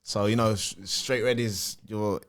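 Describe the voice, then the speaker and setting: A man's voice: fairly low pitch, fairly even intonation, unhurried, a little hiss or hum. A young man, a footballer guest chatting casually with lots of "you know what I mean". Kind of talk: casual podcast chat.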